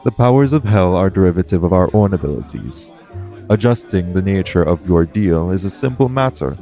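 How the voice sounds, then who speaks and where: A man playing a composed, formal angel in an audio roleplay, speaking in a measured, persuasive way. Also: low-pitched, lively intonation, mellow, soft background music. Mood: calm, persuasive. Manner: formal, measured, solemn recruiter.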